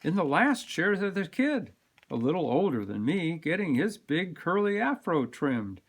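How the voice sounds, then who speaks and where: Male storybook reader, higher-pitched voice, very animated and expressive. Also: unhurried. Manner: animated, dramatic delivery with sound-word emphasis. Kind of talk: expressive read-aloud of a children's picture book.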